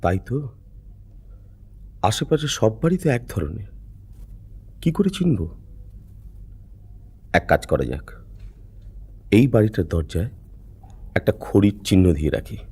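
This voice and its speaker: A man voices a sly cartoon bandit henchman. The voice is husky and animated, swinging up and down in theatrical, coaxing dialogue. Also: lowish voice, unhurried, long pauses, heavily acted. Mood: sly, scheming. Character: wheedling male bandit henchman, sly and watchful.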